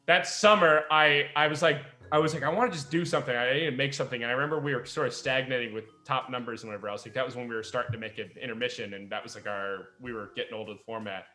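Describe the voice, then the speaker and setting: A young adult man, a podcast host and writer, chatting casually in an animated, rambling, self-deprecating way. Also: medium pitch, very fast, hardly any pauses, reverberant, faint music behind. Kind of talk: casual chat.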